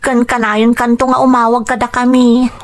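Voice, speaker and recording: A woman's voice: on the high side, little pitch movement, moderate pace, close to an everyday voice. A woman playing a grateful, caring young student niece in an Ilocano radio drama, speaking earnestly and respectfully. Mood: grateful, concerned.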